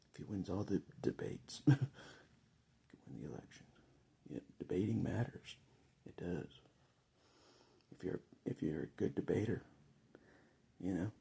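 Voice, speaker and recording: An American man with a gravelly, husky voice, chatting casually and jokily in a rambling vlog monologue, often chuckling. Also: low voice, mellow. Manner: chuckles often, jokey, conversational. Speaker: vlogger giving political opinion.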